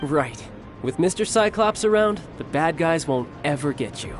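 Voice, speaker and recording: A man's voice: on the high side, expressive, normal speed, close to an everyday voice. A man playing a protective, playful older brother and warrior in a video game, teasing and confident, with music behind him. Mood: gentle. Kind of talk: English game voice acting.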